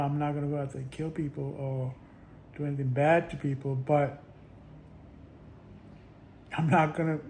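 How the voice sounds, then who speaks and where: A man vlogging in a casual, rambling monologue, halting often with restarts and little chuckles. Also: a little high, a little mellow, a little hiss or hum.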